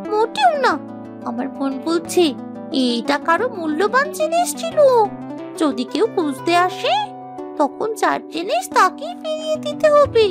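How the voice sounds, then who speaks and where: A woman acts out an excitable young village girl in a children's cartoon. The voice is extremely high and swings widely in pitch, exclamatory and theatrical, with music playing clearly behind it. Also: full character voice. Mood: worried, pleading. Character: young village girls, excitable and squabbling sisters. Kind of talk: children's moral-story cartoon acting.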